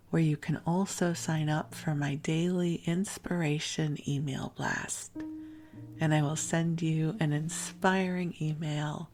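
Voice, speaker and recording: A woman with a low voice speaks very softly and unhurriedly, as host of a spiritual sleep podcast. Her hushed delivery has a creaky edge and a soothing, rambling flow meant to lull the listener. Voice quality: soft, hushed, breathy at phrase ends. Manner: deliberately slow, gentle, soothing delivery.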